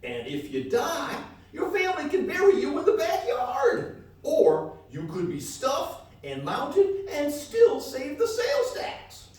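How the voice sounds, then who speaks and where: A man's voice: extremely high pitch, huge pitch swings, slow pace, darkish tone, pitched above the performer's own voice, heavily acted. A man playing a folksy, rambling country travel reporter with a hesitant drawl in a live comedy radio play. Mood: folksy enthusiasm. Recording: echoey live hall, distant mic.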